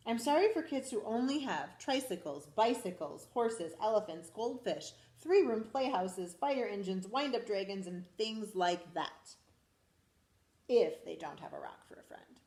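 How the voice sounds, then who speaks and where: A school principal, a woman, reading a picture book aloud to children in a lively, expressive way. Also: medium pitch, unhurried, roomy sound. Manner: animated, expressive storytelling to children.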